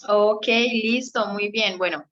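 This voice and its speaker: A woman's voice: medium pitch, slow pace, fairly bright tone. A young Colombian woman giving a conversational teaching talk as a guest, explaining things and checking for agreement as she goes. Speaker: guest speaker on a marriage radio segment. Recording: slightly dull, lo-fi sound.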